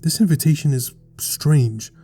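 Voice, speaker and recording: Guy doing scripted explainer narration, really dark soft-edged voice, measured storytelling. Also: fairly high pitch, slightly rough, soft background music. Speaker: video essay narrator.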